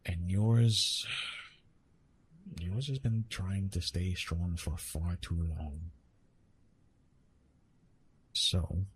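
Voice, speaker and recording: A man playing a retired immortal Greek god of love in an intimate ASMR roleplay, speaking to the listener in a soothing, gently teasing, conversational way. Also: deep voice, slight background noise. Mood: gently insistent.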